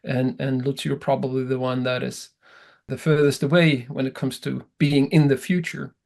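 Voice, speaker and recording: A man's voice: medium pitch, flat delivery, moderate pace, gravelly, darkish tone. Man running a webinar panel, eager, keeps restarting words, tosses questions to the group. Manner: enthusiastic, with repeated word restarts. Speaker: webinar moderator. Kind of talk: conversational webinar moderation.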